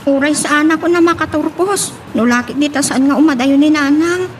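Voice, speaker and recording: A woman's voice: sits high, normal speed, slight background noise, lightly acted. A woman playing an anxious, dutiful young daughter in an Ilocano audio drama, with an Ilocano accent. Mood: earnest, troubled.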